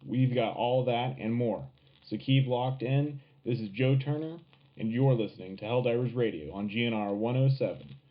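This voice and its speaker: A man's voice: mid-range voice, normal speed, lightly acted. A man playing a patriotic wartime radio host, delivering scripted news in a dramatic, emphatic announcer style. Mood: urgent, resolute. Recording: tinny narrow-band radio sound, faint music.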